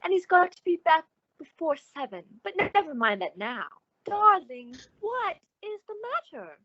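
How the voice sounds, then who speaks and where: An actress playing a gushing, solicitous, sociable woman with effusive, drawn-out emphasis in an old-time radio suspense drama. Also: very high voice, sing-song, dry recording, muffled recording. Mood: breezy, effusive.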